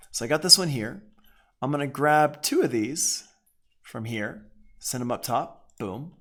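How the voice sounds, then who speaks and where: A man's voice: mid-range voice, a bit bright. An American man with a mid-range voice teaches an audio production tool in a casual way. He thinks aloud as he demonstrates, talking informally and dropping short exclamations as each step is done.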